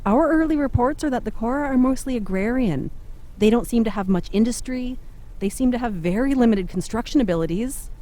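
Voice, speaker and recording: A woman's voice: fairly high pitch, expressive, fairly quick, dark tone, natural voice. A woman playing an earnest starship analyst giving a factual report in an audio drama. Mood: concerned, factual.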